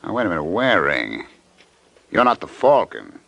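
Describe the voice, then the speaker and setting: An actor playing a slick, brazen fight promoter in an old radio drama, smooth-talking and insistent, with a noisy recording. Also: male voice, low-pitched, big pitch swings, normal speed, fairly bright tone, dry recording, heavily acted. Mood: guarded, curt. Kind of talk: 1950s radio detective drama acting.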